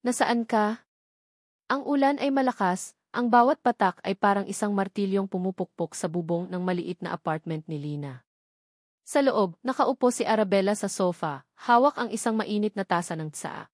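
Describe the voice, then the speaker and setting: A woman's voice: mid-range voice, normal speed, dry recording. Filipino woman narrating a dramatic romance, dry sound. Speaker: story narrator.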